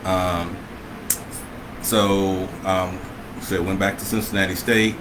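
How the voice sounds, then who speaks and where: A middle-aged man from Michigan, a chef, talking about his life in a relaxed, hesitant way with many fillers. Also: low voice, takes it slowly. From Michigan, USA. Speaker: guest, chef. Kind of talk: casual conversation on a livestream. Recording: noisy home recording.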